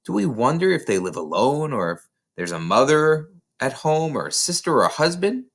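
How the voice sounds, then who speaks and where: A man's voice: medium pitch, big pitch swings, moderate pace, fairly bright tone, pitched above the performer's own voice. A man voicing a curious, wondering little schoolgirl in a storybook.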